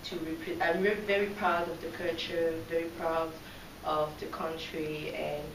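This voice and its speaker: A woman's voice: sits low, little pitch movement, unhurried, reverberant, noisy background. A woman in her twenties from Cameroon, answering casting questions earnestly, with some fillers and restarts, standing in a meeting room and recorded by a far camera. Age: 20s. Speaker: pageant contestant. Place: meeting room with a red wall.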